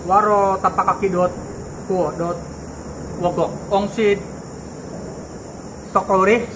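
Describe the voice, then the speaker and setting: A young Malaysian man with a Malaysian accent talks to his viewers in a chatty, reassuring way, and his voice sits high. He records on a handheld camera mic outdoors in the countryside at night. Loud insect and night noise surrounds him. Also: steady tone, slow. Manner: chatty and reassuring, mixes in Kadazan Dusun phrases. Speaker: vlogger. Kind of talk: casual talk to camera.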